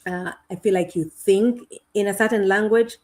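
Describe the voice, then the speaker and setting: A middle-aged woman from Kenya with a Kenyan accent, speaking as a guest on a video-call interview in a thoughtful, unhurried way. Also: medium pitch, steady tone, darkish tone. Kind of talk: conversational interview.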